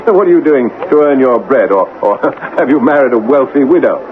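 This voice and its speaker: Guy acting hearty indignant british major, old radio play, very animated, thin muffled noisy sound. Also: mid-range voice, brisk, close to an everyday voice. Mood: hearty, teasing. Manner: hearty, emphatic, old-fashioned officer's diction. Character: earnest, indignant British cavalry major, devoted and blustering. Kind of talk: 1980s radio drama acting.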